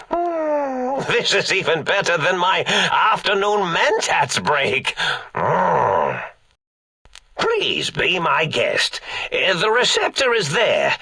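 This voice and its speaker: An actor voicing an eccentric, absent-minded mad scientist in a video game, a comically villainous figure who rants and rambles in a theatrical, grandiose way. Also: male voice, sits high, creaky, sharp tone, very echoey, far from the mic, exaggerated voice. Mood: pleased, scatterbrained.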